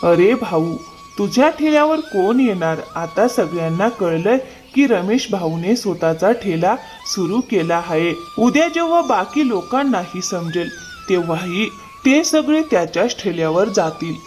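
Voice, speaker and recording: A woman's voice: mid-range voice, lively intonation, measured pace, darkish tone, soft background music, close to an everyday voice. A woman voicing a blunt, matter-of-fact stall customer in a cartoon story.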